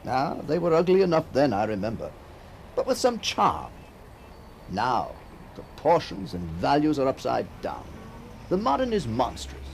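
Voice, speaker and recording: A man's voice: mid-range voice, huge pitch swings, measured pace, vocal fry, soft background music, full character voice. An actor playing a musing, middle-aged man home after decades abroad, wry and hesitant, in a radio drama. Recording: faint background noise.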